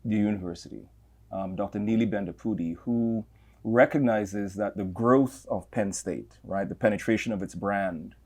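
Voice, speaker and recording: A middle-aged man with a Trinidadian accent talks about his career as an interview guest. His voice sits low, and he speaks in a reflective, measured way, often repeating a word before he carries on. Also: a little mellow. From Trinidad and Tobago. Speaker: campus leader, guest. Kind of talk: interview conversation.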